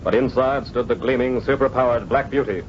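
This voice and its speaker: A man's voice: medium pitch, a little fast, close to an everyday voice. A man with an American accent giving dramatic scripted narration as an old-time radio serial announcer. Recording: muffled old radio recording, faint noise.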